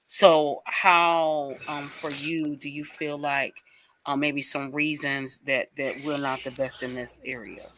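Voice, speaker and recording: A woman's voice: deep voice, little pitch movement, slow pace, background noise. A woman hosting an interview on a remote call, affirming guests and putting questions to them in a conversational way. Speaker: show host.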